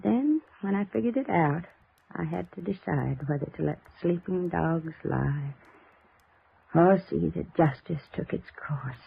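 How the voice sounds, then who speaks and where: A slow, creaky folksy old lady. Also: lowish voice, expressive, exaggerated voice. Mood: gently reproachful. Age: older. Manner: folksy, chiding, rambling. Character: dying old aunt, sweetly chatty, scolding, folksy. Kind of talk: 1950s radio drama acting. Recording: tinny old radio recording with music.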